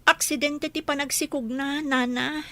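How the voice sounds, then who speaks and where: A woman's voice: sits high, fairly even intonation, unhurried, fairly bright tone, no echo. A woman acting an anxious young woman confiding in her elder in a radio drama. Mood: apologetic, anxious.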